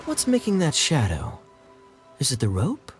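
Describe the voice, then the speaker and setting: Curious, very animated young man. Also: mid-range voice, measured pace, soft background music. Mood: pondering. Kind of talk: anime game voice acting.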